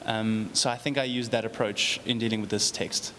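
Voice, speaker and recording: A man, a young actor, answering interview questions in a calm, measured, polite way. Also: lowish voice, a little hiss or hum, low-quality recording.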